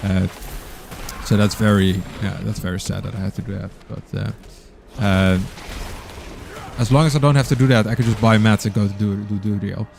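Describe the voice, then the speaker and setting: A man's voice: lowish voice, fairly even intonation, normal speed, vocal fry, a little mellow, slight background noise. A man with a Dutch accent giving casual gameplay commentary as a streamer, rambling and sometimes searching for words. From Netherlands. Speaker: game streamer.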